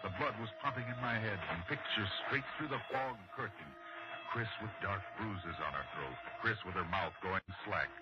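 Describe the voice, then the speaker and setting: Actor as desperate husband in muffled old radio thriller. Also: male voice, on the low side, moderate pace, very noisy, lightly acted. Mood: tense narration. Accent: American. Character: desperate, anguished husband in a suspense drama. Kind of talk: 1960s radio drama acting. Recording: dull old radio recording, loud music behind.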